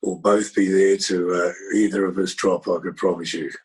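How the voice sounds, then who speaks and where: A husky-voiced man reminiscing in a rambling conversational way, with many pauses and fillers. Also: deep voice, little pitch movement. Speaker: record label executive.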